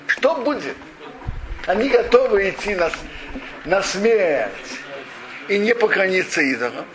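A man's voice: high-pitched, a little slow. A man giving a religious lesson, retelling an old story with rhetorical questions and frequent restarts. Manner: frequent restarts and self-corrections. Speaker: religious teacher. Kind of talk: Torah lesson retelling a midrash. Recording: steady background noise.

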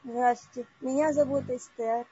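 A woman in her forties calling in to a live online session, telling a personal story haltingly with many broken-off words. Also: high-pitched, steady tone, lo-fi sound, a loud crowd. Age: 40s. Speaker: caller.

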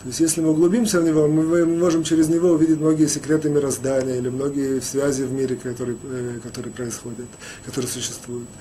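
A man teaching a Torah lesson as a rabbi, talking haltingly with many restarts and fillers. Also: medium pitch, flat delivery, mellow, slight background noise. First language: Russian, rarely used in daily life. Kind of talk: spoken Torah lecture.

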